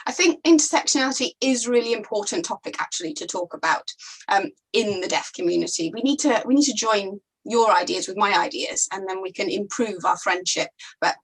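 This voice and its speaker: A woman giving voice to a deaf interviewer, speaking thoughtfully with unhurried pauses. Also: medium pitch, breathy edge, poor audio.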